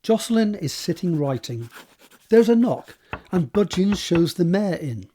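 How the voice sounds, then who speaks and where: Mellow, higher-pitched male narrator. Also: big pitch swings, a little slow, slight background noise. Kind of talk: scripted narration of audio drama stage directions.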